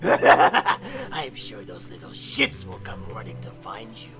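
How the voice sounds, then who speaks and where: A cruel male devil boss in a visual novel, drawling slowly and dropping to a menacing whisper before bursting into shouts. His pitch swings across a very wide range. Music plays clearly underneath. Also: huge pitch swings, heavily acted. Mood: gloating.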